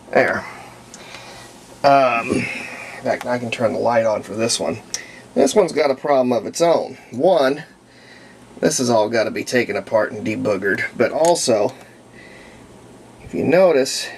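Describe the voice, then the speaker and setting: A man's voice: medium pitch, gravelly, a little hiss or hum. A man talking casually and unscripted in a folksy way as he demonstrates a hands-on repair at an indoor workbench. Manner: folksy, unscripted, narrating while working. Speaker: hobbyist radio restorer presenting a repair.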